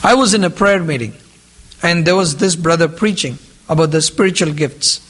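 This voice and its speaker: A man's voice: fairly high pitch, moderate pace, fairly bright tone. A man preaching a sermon in English, his second language, who repeats key phrases and puts questions to his listeners. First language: not English; has a different mother tongue. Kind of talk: sermon to a group.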